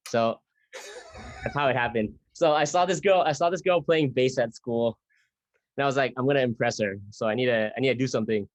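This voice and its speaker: A man from Thailand, a guest musician, answering interview questions in relaxed storytelling. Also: medium pitch, brisk, dry recording.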